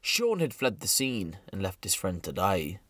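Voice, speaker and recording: A man's voice: low voice, very animated, a little slow, fairly bright tone, no echo. A man narrating a scripted true crime story in a serious storytelling manner.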